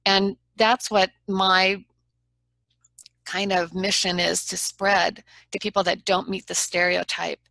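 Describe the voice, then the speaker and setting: A woman's voice: mid-range voice, little pitch movement, slow pace, creaky, sharp tone, dry recording. A woman trial lawyer as a guest in an interview, talking in an earnest, frank and persuasive way. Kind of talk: conversational interview.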